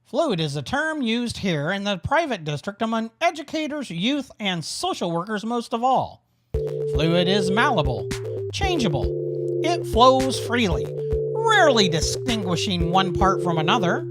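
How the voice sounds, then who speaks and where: A woman narrating a scripted audio drama in a deliberate storytelling manner. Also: medium pitch, very animated. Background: music.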